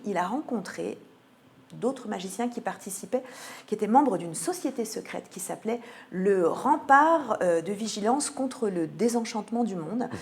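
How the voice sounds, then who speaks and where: A woman answering in an interview as a theatre programming manager, often pausing mid-sentence as she presents a programme. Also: mid-range voice. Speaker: theatre cultural programming manager, radio guest.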